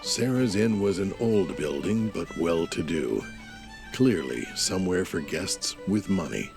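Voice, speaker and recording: Deep, gravelly male storyteller. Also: animated, a little slow, music in the background. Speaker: narrator. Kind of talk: scripted audio drama narration.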